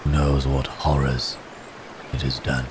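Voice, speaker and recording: Male actor as wounded werebeast in human form, ASMR drama. Extremely deep, gentle, troubled, sighing, old-fashioned wording. Clear rain-like ambience behind. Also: monotone, background noise. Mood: brooding, troubled. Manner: halting, formal old-fashioned phrasing, sighing. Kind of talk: ASMR roleplay acting.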